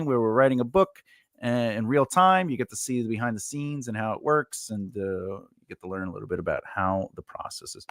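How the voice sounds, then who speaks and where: Man, forties, writing teacher, casual chat, rambling, mid pitch, dry room. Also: no echo. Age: 40s. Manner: chatty, rambling, laughs often. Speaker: writing teacher and developmental editor. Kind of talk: casual live-stream Q&A.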